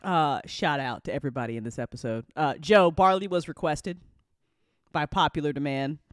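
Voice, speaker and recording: An effusive podcast host chatting casually and excitedly, using slang and given to laughing. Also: medium pitch, normal speed, no echo, studio quality. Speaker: host of a fiction podcast after-show.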